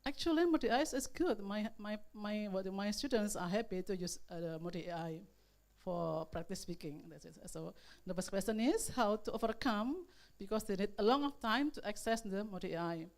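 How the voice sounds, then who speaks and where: A woman teacher speaks from a seminar audience with an Indonesian accent. Her voice sits at a middle pitch, and she builds her question haltingly, repeating phrases as she goes. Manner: halting, repetitive non-fluent English.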